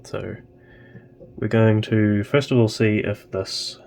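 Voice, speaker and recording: A man narrating casually and haltingly as he tinkers at a workbench, thinking aloud. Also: on the low side, fairly even intonation, gravelly, darkish tone. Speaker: host of electronics tinkering videos.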